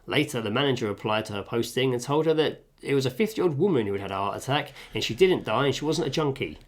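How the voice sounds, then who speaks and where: A man's voice: mid-range voice, animated, fast. Man, podcast co-host, casual chat, reading aloud, dry sweary asides, home kitchen.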